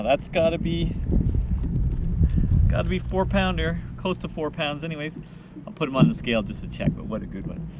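Upbeat man in loud wind. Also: medium pitch. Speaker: fishing vlogger. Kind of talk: casual outdoor fishing commentary to camera. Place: outdoors on a small boat on a lake. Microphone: camera mounted on the boat, a few feet away. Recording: loud wind noise on camera mic. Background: wind and water noise.

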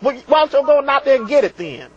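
A man's voice: very high voice, sing-song, fast, lo-fi sound. An older man hosting a live call-in show with sassy, theatrical, scolding banter in a small TV studio.

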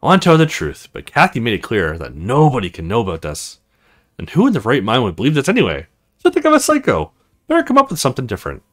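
A man's voice: mid-range voice, huge pitch swings, fast. A man voicing an awkward, earnest young male recruit in a visual novel, hesitant and self-conscious. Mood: nervous.

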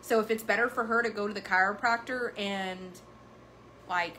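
Woman in her 30s chatting to the camera, frank, bright tone, bit of background hum. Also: mid-range voice, normal speed. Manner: frank, animated, swears casually. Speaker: horse business owner and channel host. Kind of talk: casual chat to viewers. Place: wood-paneled indoor room.

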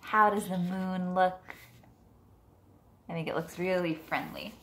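A woman in her thirties, a teacher reading a picture book aloud gently and unhurriedly, drawing out words, at home. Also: fairly low pitch, steady tone, slow. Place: living room at home, on a couch. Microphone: device camera a short distance away. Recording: noticeable room background noise.

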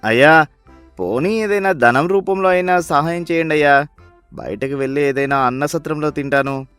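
A man's voice: fairly high pitch, normal speed, fairly bright tone, clear, resonant tone, no echo, faint music behind, close to an everyday voice. A man playing a humble, hungry beggar in a story, speaking in a pleading, deferential way.

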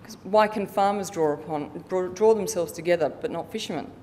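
A middle-aged woman with a fairly low voice presents formally from a lectern. She reads prepared material in a measured way, with the odd stumble. The hall adds a long reverberant tail, and a faint rumble sits behind her. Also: a little mellow. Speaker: conference presenter, researcher. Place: conference hall stage, indoors. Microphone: lectern microphone.

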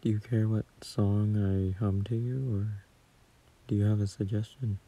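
A man speaking softly and hesitantly into a close phone mic as a gentle, caring boyfriend in a soothing ASMR roleplay. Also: lowish voice, steady tone, a little slow. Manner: soft, hesitant, soothing, at times near whisper.

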